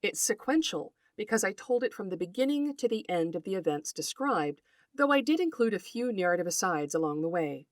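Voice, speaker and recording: A middle-aged woman hosting a podcast, reading a scripted narration in an articulate, deliberate storytelling way and speaking directly to her listeners. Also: medium pitch, no room sound, studio quality. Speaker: podcast host, writer and folklorist.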